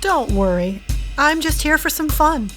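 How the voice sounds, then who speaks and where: A woman's voice: sits high, expressive, a little slow, fairly bright tone, soft background music. A woman acting in an audio drama as a defiant, sarcastic woman with a teasing, flirty streak. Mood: flirty, brazen. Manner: sardonic, teasing.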